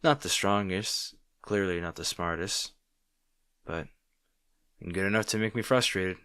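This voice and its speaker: A man's voice: sits low, a little slow, bright, forceful tone, clean tone, no echo, clean studio sound. A man playing an arrogant but secretly caring supervillain rival, bantering with the listener in an audio roleplay. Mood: teasing, reassuring. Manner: teasing, bantering, grudgingly sincere.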